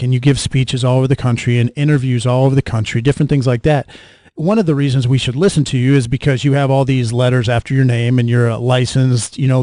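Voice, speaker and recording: A man's voice: medium pitch, warm tone, no room sound. A man hosting an interview podcast, asking wordy, roundabout questions in a conversational style.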